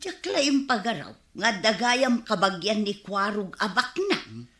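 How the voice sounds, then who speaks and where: A woman's voice: mid-range voice, very animated, measured pace, fairly bright tone, reverberant. A woman playing a plain-spoken, teasing village woman with an Ilocano accent in a comedy radio drama. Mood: matter-of-fact, teasing.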